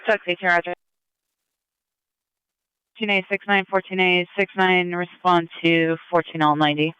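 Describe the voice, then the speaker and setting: High voiced guy doing police dispatch, clipped numbers, super clear, lofi scanner radio. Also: little pitch movement, normal speed, pushed and bright, no room sound, highs cut off.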